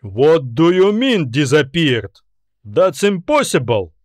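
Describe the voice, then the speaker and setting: A fearsome admiral of a space empire, as a man narrating an audiobook puts him on. He is domineering and snide, issuing commands slowly and deliberately in a slightly high male voice. The sound is very dry. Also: big pitch swings, no room sound. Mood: furious, incredulous. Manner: commanding, snide, barking questions. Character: fearsome, irritable, domineering military admiral in science fiction.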